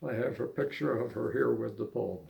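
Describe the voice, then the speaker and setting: An older man, an amateur poet, speaks in an unhurried, halting way as he reads verse and reminisces. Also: mid-range voice, vocal fry, darkish tone. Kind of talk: poetry reading and casual reminiscing.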